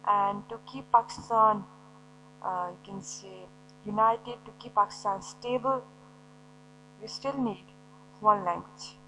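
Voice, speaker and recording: Bright-voiced woman teaching a lecture. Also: mid-range voice, takes it slowly, long pauses, slight background noise, poor audio. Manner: explanatory, poses and answers her own questions. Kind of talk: recorded classroom lecture.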